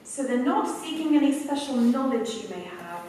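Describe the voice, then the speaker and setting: Brisk, formal woman commander, played by an actress, animated high voice, radio drama in an echoing hall. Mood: inquiring. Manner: polite, clipped, formal, businesslike. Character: brisk, composed wartime woman commando leader, politely commanding. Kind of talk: staged 1940s-style radio play acting. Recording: echoey hall, distant stage mic, faint noise.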